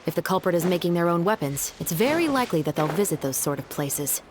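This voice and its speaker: A woman's voice: on the low side, very animated, quick pace, background noise. A woman playing a composed, dutiful young patrol officer in a video game, speaking formally and earnestly. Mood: thoughtful.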